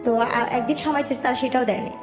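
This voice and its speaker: A woman's voice: sits high, steady tone, racing. A young adult Bangladeshi woman with a Dhaka accent, telling her own life story in colloquial, detailed conversation. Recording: roomy voice with music underneath. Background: background music.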